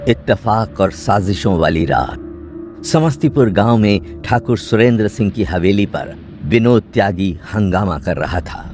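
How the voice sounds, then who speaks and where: A male narrator tells a suspense story in a fairly low voice. His delivery is scripted, dramatic and measured, with music clearly playing behind him. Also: lively intonation, a little mellow.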